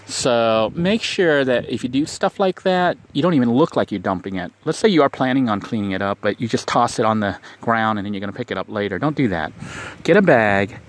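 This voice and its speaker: A man's voice: medium pitch, animated, fairly quick. A man talking outdoors in a casual vlog, giving earnest, rambling advice and repeating himself. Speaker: vlogger. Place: outdoors on a vacant lot.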